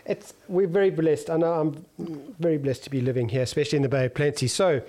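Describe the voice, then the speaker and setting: A middle-aged man hosts a casual interview, his voice a touch higher than most men's. He loops through long questions with restarts and keeps the guest going with encouraging asides. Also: fairly even intonation, fast, mellow. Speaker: interview host.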